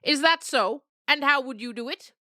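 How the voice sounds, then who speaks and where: A woman's voice: sits high, normal speed, pushed and bright, no room sound, clean studio sound, pitched above the performer's own voice. A woman voicing a bossy mother nanny goat who leads the herd. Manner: bossy, commanding.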